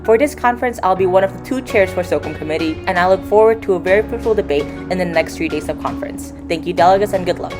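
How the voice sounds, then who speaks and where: Brisk teenage girl over music. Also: on the low side. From Indonesia. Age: teens. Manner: brisk, polite, formal. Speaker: committee chair introducing herself. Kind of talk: short self-introduction. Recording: music playing behind.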